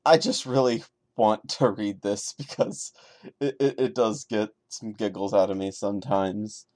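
A man's voice: fairly low pitch, steady tone, unhurried, a little mellow, dry recording, clean studio sound. A man hosting a podcast, dramatically reading a horror story and breaking in with wry, joking commentary. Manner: wry, jokey, chatty asides. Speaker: podcast host.

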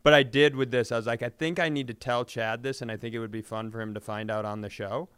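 A man with a smooth, clear voice of medium pitch chats on a comedy podcast. He is casual and self-deprecating, often restarting as he works out a thought. The sound is dry and close. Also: steady tone, fast talker, a bit bright, no room sound. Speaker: podcast co-host.

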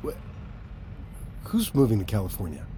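A man's voice: huge pitch swings, moderate pace, warm tone. An actor playing a harried, short-tempered American father in middle age, stammering and evasive as he hides his worry. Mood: tense, indignant. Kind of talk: naturalistic audio drama acting. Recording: clear car engine rumble behind. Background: car interior, traffic ambience.

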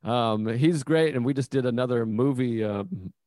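A man's voice: mid-range voice, normal speed, mellow, dry recording, clean studio sound. An American man from Massachusetts hosting a casual interview podcast, talking in an informal, self-deprecating, rambling way. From Massachusetts, United States.

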